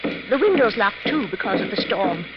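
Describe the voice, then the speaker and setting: An actress playing an excitable, forthright older woman with an emphatic, fretful manner in a 1950s radio mystery. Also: mid-range voice, normal speed, natural voice. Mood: anxious, hushed. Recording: muffled, very noisy 1950s radio recording.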